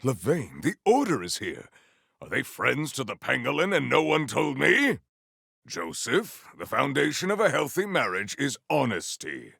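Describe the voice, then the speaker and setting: An actor playing a grave, honour-bound warrior with wry formality and archaic, theatrical diction in a video game. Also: male voice, mid-range voice, wildly swooping pitch, unhurried, vocal fry, pushed and bright, husky, no room sound, clean studio sound, heavily acted. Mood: wry, stern. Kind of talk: video game character voice lines.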